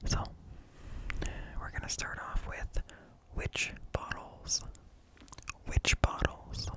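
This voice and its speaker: Slow whispering ASMR man. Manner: deliberate, close, hushed delivery. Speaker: ASMR host reading articles. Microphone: close up, ear-to-ear stereo.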